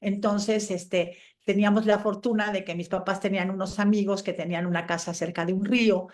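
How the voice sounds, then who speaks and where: A woman's voice: medium pitch, steady tone, fairly quick, darkish tone. A middle-aged woman from Chile and Mexico answering casually in an interview, telling anecdotes in a conversational way. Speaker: interviewed scientist guest.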